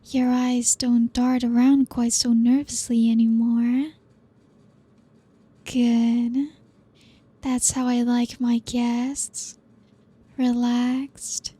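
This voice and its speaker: A woman playing a possessive female vampire who coaxes her guest in a soft, breathy ASMR roleplay. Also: fairly high pitch, flat delivery, no room sound, full character voice. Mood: seductive. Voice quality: soft breathy whispery delivery. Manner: slow, teasing, coaxing.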